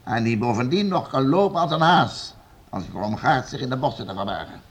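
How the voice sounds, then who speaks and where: Husky, stern, domineering nobleman. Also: medium pitch, lively intonation, a little fast. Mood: scornful, threatening. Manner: commanding, scornful, emphatic. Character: stern, domineering male nobleman, bullying and sure of himself. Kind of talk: radio play acting.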